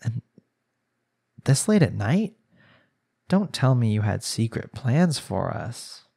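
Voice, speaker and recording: A man acts out a young newlywed husband who is prone to jealousy, in an intimate audio drama. His voice sits at an average pitch and has a creaky, gravelly grain. He talks conversationally, as if to his wife or family, leaning on his words. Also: big pitch swings, takes it slowly, mellow, heavily acted. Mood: casual, teasing. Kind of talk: intimate audio roleplay, acted monologue.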